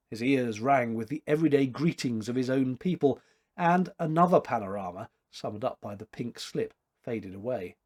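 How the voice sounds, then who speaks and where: A man in his fifties reads literary fiction aloud in a measured, expressive storytelling way. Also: mid-range voice. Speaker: amateur story reader. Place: small domestic room, indoors.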